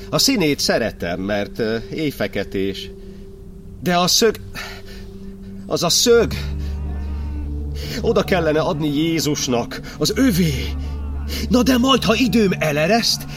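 An actor playing an old, suffering cardinal in an audio drama, declaiming a brooding verse monologue in an anguished way. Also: male voice, on the high side. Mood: childlike, agitated. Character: imprisoned Hungarian cardinal, old, suffering, brooding over his martyrdom.

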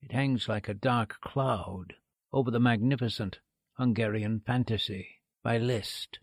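Man acting a gravelly, solemn concert maestro. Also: medium pitch, unhurried, no echo. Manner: formal, portentous. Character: grave, courtly male concert musician introducing his piece. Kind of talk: radio drama acting, formal stage address.

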